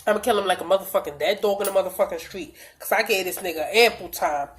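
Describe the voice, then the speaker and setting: A young woman tells a storytime vlog in a blunt, profane, animated way. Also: mid-range voice, fast talker. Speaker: vlogger.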